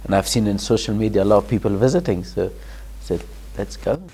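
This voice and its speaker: Low-voiced man answering haltingly. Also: dark tone, breathy edge, rough recording. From Afghanistan. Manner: hesitant, many restarts and fillers, laughs in places. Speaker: guest, charity founder. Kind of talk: casual interview answers.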